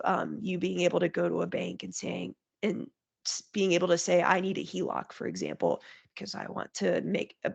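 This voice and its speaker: A woman's voice: lowish voice, muffled recording. Nasal woman with a head cold. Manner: explains in clear plain terms, lists points. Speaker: guest market strategist. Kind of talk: conversational podcast interview.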